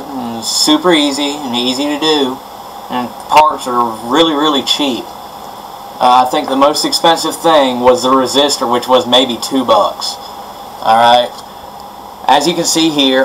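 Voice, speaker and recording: A man presenting an informal hands-on electronics tutorial in a halting, improvised way, recorded on amateur camera audio at a workbench indoors. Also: medium pitch, a bit bright, slight background noise, low-quality recording.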